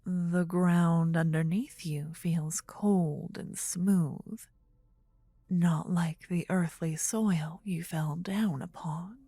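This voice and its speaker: A woman playing a possessive, tender demon queen in a fantasy ASMR roleplay, with a soft breathy whisper and an intimate, regal, coaxing manner. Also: fairly low pitch, steady tone, drawn-out words, dry recording, studio quality, exaggerated voice. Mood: possessive, soothing.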